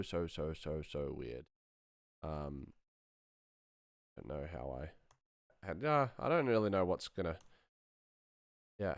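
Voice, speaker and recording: Low-voiced man chatting on a live stream. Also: dry recording, clean studio sound. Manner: rambling, thinking aloud while working. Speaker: sound designer hosting a live stream. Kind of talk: casual live-stream chat with tutorial.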